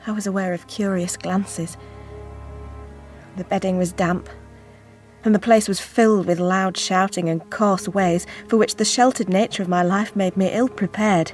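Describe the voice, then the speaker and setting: An actress playing a resolute, sheltered nineteenth-century gentlewoman, narrating formally with composed, old-fashioned diction in a radio drama. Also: medium pitch, steady tone, moderate pace, soft background music, natural voice. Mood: weary, resolute. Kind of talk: dramatised first-person narration.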